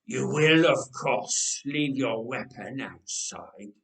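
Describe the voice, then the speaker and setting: A man voicing an old, tired, heavy tyrant king in a storybook reading, wheezy, slow and imperious. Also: mid-range voice, creaky, fairly bright tone. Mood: imperious, weary. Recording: roomy, echoing indoor sound.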